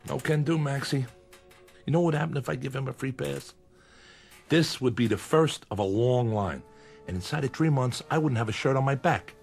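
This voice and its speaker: An actor playing a menacing bookmaking boss in a radio crime drama, with weary sarcasm and veiled threats. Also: male voice, mid-range voice, normal speed, gravelly, a little mellow, husky, soft background music. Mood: sarcastic, hard. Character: menacing, sardonic male gangland bookmaking boss. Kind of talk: old-time radio crime drama acting.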